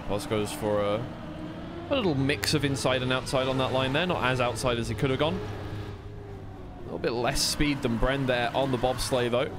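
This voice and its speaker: A man's voice: medium pitch, moderate pace. Male racing game commentator over noisy background. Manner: conversational, second-guesses himself. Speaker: esports commentator. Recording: clear background noise of vehicle sounds.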